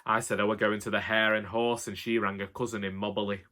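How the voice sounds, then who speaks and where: A man's voice: fairly low pitch, level tone, fast, pushed and bright. A man playing a henpecked older husband with a Northern English accent, telling comic tales in a wry, exasperated, colloquial way. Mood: dry, wry. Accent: Northern English, Cheshire. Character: grumbling henpecked old Northern husband, dryly comic storyteller. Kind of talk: comic dramatic monologue narration.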